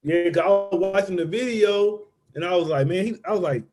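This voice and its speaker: A man's voice: sits high, brisk, a little mellow. A man co-hosting a podcast, asking loose, slangy questions. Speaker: podcast co-host. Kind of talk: casual podcast interview.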